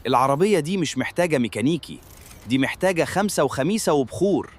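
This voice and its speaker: A man's voice: fairly high pitch, expressive, quick pace, slight background noise, natural voice. A man voicing a sarcastic, wisecracking garage sidekick in a comic cartoon, with an Egyptian accent.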